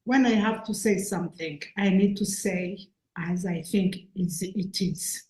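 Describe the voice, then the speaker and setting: A woman's voice: mid-range voice, unhurried, a little mellow. A Rwandan woman whose first language is Kinyarwanda, speaking earnestly at an event and searching for her English words as an invited speaker. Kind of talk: halting public talk. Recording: echoey room.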